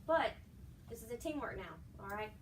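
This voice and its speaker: A woman's voice: on the high side, animated, normal speed, natural voice. A woman acting a patient, placating nurse who coaxes and sets rules, a little hesitantly, in an amateur skit. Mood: gently firm. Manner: coaxing, hesitant. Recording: distant microphone, noisy room.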